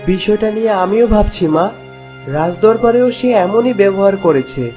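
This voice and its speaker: A man's voice: sits high, normal speed, warm tone, faint music behind, close to an everyday voice. A man voicing an earnest, troubled young prince in a cartoon, speaking politely. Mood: earnest, concerned. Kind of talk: cartoon voice acting.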